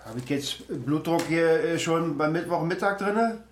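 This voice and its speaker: An actor playing a stubborn, forgetful older father who grumbles and mutters haltingly in a naturalistic radio drama. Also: fairly high pitch, slight background noise, close to an everyday voice. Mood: grumbling, reproachful. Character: stubborn, forgetful old father sorting his pills. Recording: roomy, loud clatter of dishes.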